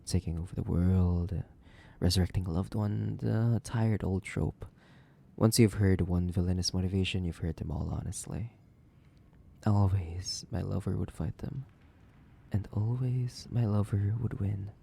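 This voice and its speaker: Guy doing a sadistic old vampire for horror roleplay, low dark voice, breathy whispers, savoring every word, faint noise behind. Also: steady tone. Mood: wry storytelling. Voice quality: gravelly, breathy, often dropping to a whisper. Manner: slow, theatrical, savoring, condescending. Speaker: audio roleplay voice actor. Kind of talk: horror audio roleplay monologue.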